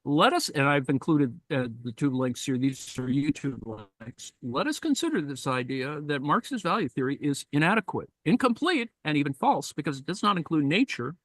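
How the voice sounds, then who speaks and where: Man reading aloud with halting commentary. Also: fairly high pitch, big pitch swings, fairly bright tone, no room sound. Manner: thoughtful, halting, many fillers and asides. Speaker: discussion leader reading aloud. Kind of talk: reading and commenting in a live-streamed discussion.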